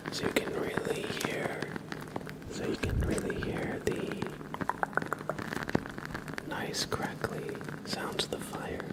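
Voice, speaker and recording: A man hosting an ASMR livestream, chatting casually to viewers in a hushed, soothing whisper. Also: poor audio. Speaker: livestream host.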